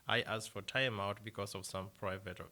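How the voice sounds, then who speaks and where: A young man from Kenya with a Kenyan accent, a deacon answering interview questions in a halting way with many fillers and restarts. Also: fairly low pitch, fairly even intonation, pushed and bright.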